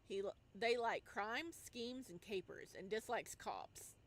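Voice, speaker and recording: A woman doing playful, expressive gameplay commentary, slipping between reading text aloud and exclaiming in singsong asides. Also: mid-range voice, measured pace. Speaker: game streamer.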